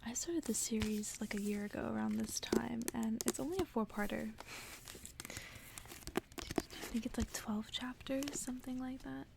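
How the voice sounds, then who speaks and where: A woman whispering close to the mic in a hushed, soothing ASMR style, as if reading and chatting someone to sleep. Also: fairly high pitch, fairly even intonation, vocal fry. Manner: hushed whispering, soothing slow repetition of phrases. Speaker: ASMR host and book reader. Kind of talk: whispered ASMR, casual chat and bedtime story reading. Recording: faint background noise.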